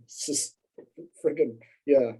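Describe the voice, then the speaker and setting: A man on a podcast talks loosely and at length, stopping and restarting with plenty of fillers. His voice sits in a very dark, mellow register. He pokes fun at himself as he goes. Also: mid-range voice, slow pace, slight background noise. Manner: rambling, self-deprecating, lots of fillers and restarts. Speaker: podcast guest. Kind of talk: casual conversational storytelling.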